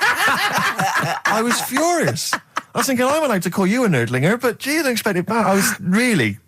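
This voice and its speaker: A man's voice: extremely high pitch, sing-song, moderate pace, sharp tone, husky, no room sound. A man with an English accent co-hosting a radio show, telling casual, self-deprecating anecdotes with mock indignation. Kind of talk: casual comic anecdote and banter. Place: radio studio.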